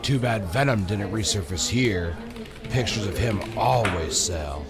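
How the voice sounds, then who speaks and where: A man's voice: fairly low pitch, expressive, a little slow, over loud music. A man playing a matter-of-fact newspaper colleague in a motion comic, conversational.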